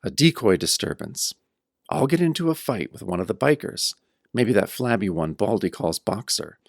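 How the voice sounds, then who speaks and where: A man's voice: fairly low pitch, very animated, normal speed, gravelly, no room sound, clean studio sound, exaggerated voice. An actor playing a battered, wry tough-guy hero, narrating his own pulp horror tale in a radio-style audio drama. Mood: determined, wry. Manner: wry, hard-boiled first-person storytelling.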